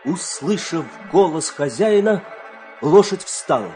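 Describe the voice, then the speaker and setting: A man narrating a children's fairy-tale radio play with dramatic, expressive storytelling delivery. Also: fairly high pitch, slow, music in the background.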